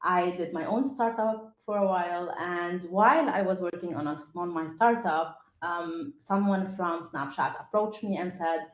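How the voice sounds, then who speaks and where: A woman's voice: fairly low pitch, moderate pace, few pauses. A woman from Lebanon with a Lebanese accent and Arabic as her first language, introducing herself as a panelist to students on an online call. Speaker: panelist, tech programme lead. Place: indoor room, online video call. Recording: roomy, echoey call audio.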